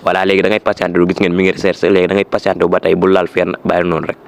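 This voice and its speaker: Low-voiced Senegalese man giving a tutorial. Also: flat delivery, rapid-fire, vocal fry, a little hiss or hum. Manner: repetitive, instructive, with French words mixed in. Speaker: tutorial presenter. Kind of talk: informal step-by-step app tutorial.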